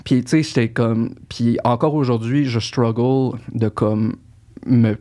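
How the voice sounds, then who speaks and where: A man's voice: mid-range voice, steady tone, unhurried, mellow. A man with a Québécois accent, hosting a casual podcast interview, reflective and earnest as he speaks personally. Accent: Québécois French. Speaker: podcast host.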